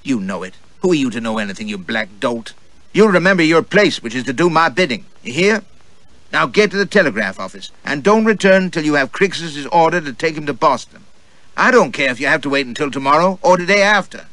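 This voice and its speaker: A man's voice: a little high, expressive, a little fast, a bit bright, no echo, pitched above the performer's own voice, rough recording. A man voicing an imperious, short-tempered woman in an audiobook, snapping out curt, scornful orders. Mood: scornful, commanding. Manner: curt, clipped, rapped-out orders.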